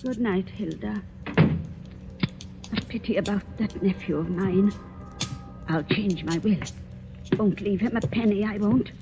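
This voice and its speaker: An actress playing a stern, bitter old spinster, imperious and scolding, in old-time radio horror style. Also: female voice, mid-range voice, slow pace, pauses often. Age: older. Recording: muffled vintage radio recording, faint music.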